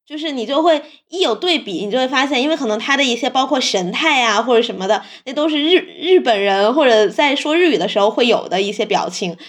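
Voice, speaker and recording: A woman with a Mainland Mandarin accent and a fairly high voice chats as a podcast host. Her delivery is informal and anecdotal, like relaxed conversation between co-hosts. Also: fast, few pauses. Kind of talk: casual chat.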